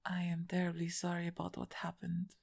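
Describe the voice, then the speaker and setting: A woman playing a big, gentle, injured half-orc in an ASMR roleplay, speaking softly and hesitantly with a breathy, husky tone. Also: lowish voice, completely flat delivery, heavily acted. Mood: soft, sincere. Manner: hesitant, soft-spoken, halting with pauses.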